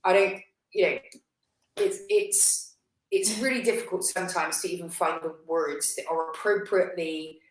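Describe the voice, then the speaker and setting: In a roomy, echoing recording, a woman with a slightly low voice speaks as an interview guest. She talks with passion about politics, in a conversational but serious way. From United Kingdom. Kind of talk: impassioned political discussion. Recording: roomy, echoey sound.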